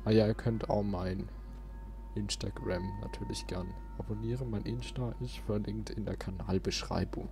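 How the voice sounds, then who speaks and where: A man's voice: lowish voice, huge pitch swings, normal speed, over music. A young man hosting a let's play with casual gameplay commentary. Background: video game sound.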